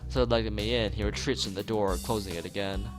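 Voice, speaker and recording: A man's voice: on the low side, steady tone, fairly quick. A man streaming a let's play, reading a visual novel aloud with casual asides, often tripping over words and rereading them. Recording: music playing behind the voice.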